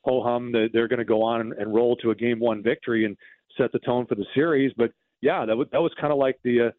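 A man's voice: mid-range voice, steady tone, fast, no room sound. A man speaking as a baseball broadcaster guest, reminiscing conversationally about games over a phone-like connection. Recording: narrow phone-like line.

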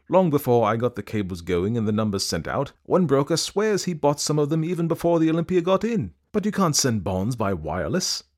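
A harried young gentleman in his thirties , as a male narrator plays him, pressing his points with earnest, exasperated emphasis. His voice moves widely in pitch, lively and animated, in a storybook reading.

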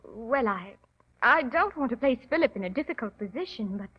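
High-voiced young society lady, theatrical. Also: animated, a little fast, slightly rough. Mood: reluctant. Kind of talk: 1940s radio drama acting. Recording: muffled 1940s radio broadcast recording.

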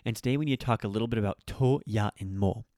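A man's voice: lowish voice, fast, darkish tone, dry recording, studio quality. A man hosting a casual grammar lesson, chatty and self-correcting as he walks through examples. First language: English. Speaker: language teacher.

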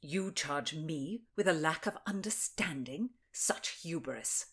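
A menacing noblewoman speaks low, slow and icy, as a woman plays her. Her threats come soft and controlled. It is a period audiobook reading. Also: animated, breathy edge, full character voice. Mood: accusing. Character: cold, menacing noblewoman interrogator.

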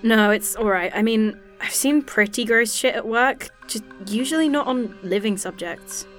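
A woman's voice: fairly high pitch, moderate pace, soft background music, close to an everyday voice. An actress playing a wry, outspoken woman aboard a train in a sci-fi audio drama, speaking casually. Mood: casual, friendly.

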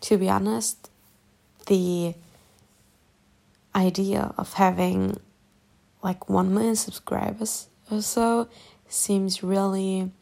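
A young woman with a German accent, German her first language, musing softly and partly whispering while lying in bed at night for her vlog. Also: medium pitch, level tone, slow, vocal fry, long pauses. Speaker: YouTuber. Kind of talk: late-night personal vlog.

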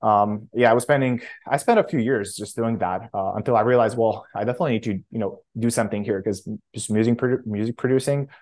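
A man, answering interview questions in a casual, halting way with many restarts. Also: on the low side, a little mellow. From Romania. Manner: halting, frequent self-restarts and fillers. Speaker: startup founder guest.

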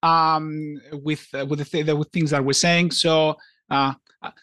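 A man from Greece co-hosting a podcast in casual chat, talking haltingly with many restarts and fillers. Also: a little high, little pitch movement, sharp tone, no room sound, studio quality. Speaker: podcast co-host.

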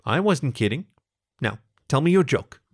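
A man voicing a purring, affectionate female cat, swooping pitch. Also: animated, moderate pace. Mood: firm. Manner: purring, mewing delivery. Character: affectionate, self-assured female cat, sleek and teasing.

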